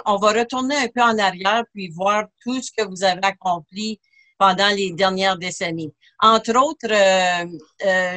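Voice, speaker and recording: A woman's voice: mid-range voice, a bit bright. A woman hosting a church television interview, asking questions hesitantly with frequent fillers. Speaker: TV host.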